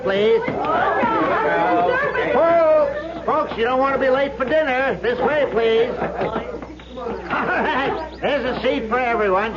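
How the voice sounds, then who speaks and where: High-voiced folksy cable car showman. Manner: showy tour-guide patter. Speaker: actor. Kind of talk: old-time radio drama acting. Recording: noisy, dull old radio recording.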